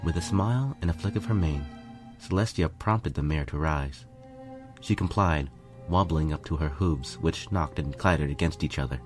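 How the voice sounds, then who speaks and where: A man's voice: rumbling low, moderate pace, over music. A man reading a first-person fan fiction story as scripted narration.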